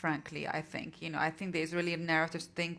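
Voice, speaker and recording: A woman's voice: low voice, little pitch movement, very fast, a bit bright. Earnest woman moderating a panel. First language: Arabic. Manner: earnest, probing questions. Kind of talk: panel interview.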